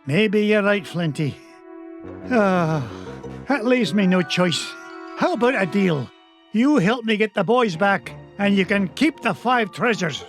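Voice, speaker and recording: A gruff, miserly old duck with a thick Scottish burr. His voice sits high and he talks brusquely in a game cutscene with soft music underneath. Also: lively intonation, unhurried. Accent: put-on Scottish. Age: older. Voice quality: brusque, blustery. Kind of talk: video game cutscene acting.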